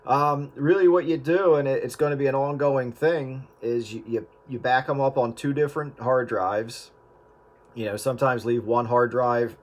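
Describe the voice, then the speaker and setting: A man with a mid-pitched voice talks through practical advice in an easygoing, explanatory way. He hosts a casual radio chat and often pauses with fillers. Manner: relaxed, explanatory, frequent fillers. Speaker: radio show host. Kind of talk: casual chat about converting old media.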